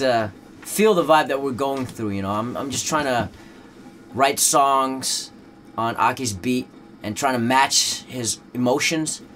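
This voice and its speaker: A Dutch rapper speaking English as a second language, chatting casually in an interview with a laid-back, loose delivery full of fillers. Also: male voice, mid-range voice, a bit bright, a little hiss or hum.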